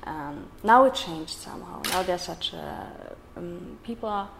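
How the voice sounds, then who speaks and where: A woman with a German accent, German being her first language, talking haltingly as an interview guest, with many pauses and restarts. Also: fairly low pitch, expressive, creaky, background noise. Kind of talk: personal interview.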